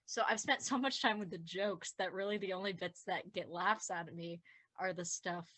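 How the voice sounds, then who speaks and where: Bright-voiced, talkative woman. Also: lowish voice, moderate pace. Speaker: podcast scriptwriter. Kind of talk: casual behind-the-scenes commentary.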